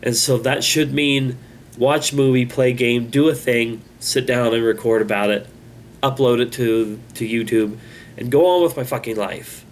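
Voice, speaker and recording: A man hosting his own channel, talking in a halting, rambling, self-critical way, with a congested voice. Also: medium pitch, slight background noise. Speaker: channel host. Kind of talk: casual vlog monologue.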